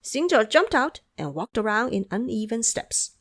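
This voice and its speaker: A woman's voice: on the high side, very animated, a little slow, a bit bright. A woman narrating a scripted audio drama as a storyteller.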